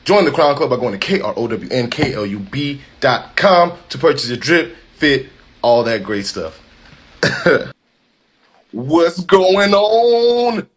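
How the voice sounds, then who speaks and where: A man's voice: a little high, expressive, slow. A young man hosting a casual interview, chatty and laid-back, full of slang. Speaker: host.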